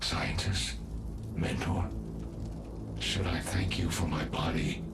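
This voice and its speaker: Sinister robot villain, game cutscene, drawling slowly, gravelly, taunting, refined wording, loud music behind. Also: male voice, deep voice, flat delivery, reverberant. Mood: cold menace. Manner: slow, taunting, ornate speech. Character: menacing, cultured robotic male villain. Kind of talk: video game cutscene acting.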